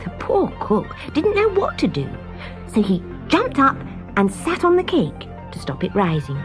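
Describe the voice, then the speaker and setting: A woman narrating a scripted children's story as an expressive storyteller. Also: fairly high pitch, a little slow, over music. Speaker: story reader.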